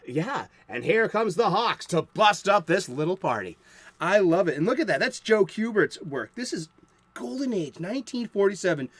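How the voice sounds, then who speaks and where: Host, male, 50s, light Boston accent; high-pitched, rambling, enthusiastic, dry close sound. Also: no echo. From South Boston, USA. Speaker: comic book show host. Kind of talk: casual chat to the viewer.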